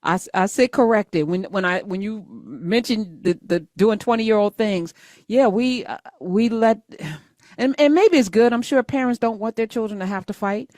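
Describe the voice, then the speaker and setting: A woman's voice: medium pitch, normal speed, darkish tone, no room sound, clean studio sound. A middle-aged woman hosting a live call-in talk show, speaking conversationally and thinking aloud as she goes.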